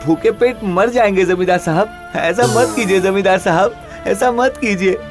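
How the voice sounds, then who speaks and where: Man acting a meek village potter, highish voice. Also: moderate pace, faint music behind, natural voice. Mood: pleading. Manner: pleading, deferential. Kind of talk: Hindi animated fairy tale dubbing.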